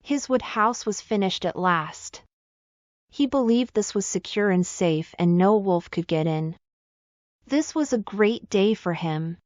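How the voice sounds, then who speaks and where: A woman's voice: medium pitch, animated, unhurried, a little mellow, dry recording, lo-fi sound. A woman reading a scripted children's fairy tale as the storyteller.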